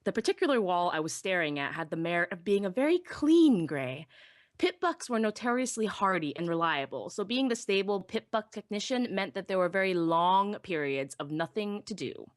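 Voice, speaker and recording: A woman playing a shy, self-deprecating young pony technician who narrates her own story in an expressive, wistful, wry way. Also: mid-range voice, normal speed, no room sound, clean studio sound, lightly acted. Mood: weary, wistful. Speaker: first-person narrator. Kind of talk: dramatic live audiobook reading.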